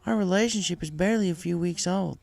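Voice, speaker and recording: A man voicing a caring, steady young female farm pony, folksy, reassuring and firm, in a story reading. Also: sits high, fast talker, vocal fry, faint music behind, pitched above the performer's own voice.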